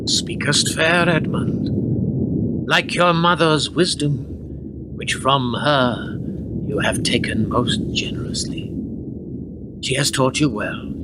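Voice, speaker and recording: A man playing a troubled, commanding medieval lord and father in a radio play, speaking solemn archaic English with a halting, theatrical delivery. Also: fairly high pitch. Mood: fond. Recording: very noisy amateur radio play recording.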